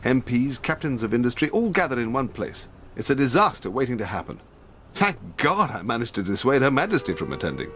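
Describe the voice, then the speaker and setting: An actor playing a dapper, jittery British secret service gentleman in a video game, with a plummy upper-class English accent and fussy politeness. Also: mid-range voice, big pitch swings, a little fast, a little hiss or hum. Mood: nervous. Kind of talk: video game cutscene acting.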